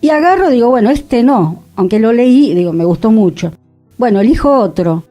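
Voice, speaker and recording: A woman with a Rioplatense accent co-hosting a radio show, telling a story in an animated way. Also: on the high side, moderate pace, faint music behind. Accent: Rioplatense Spanish. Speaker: radio co-host. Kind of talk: casual radio chat. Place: radio studio.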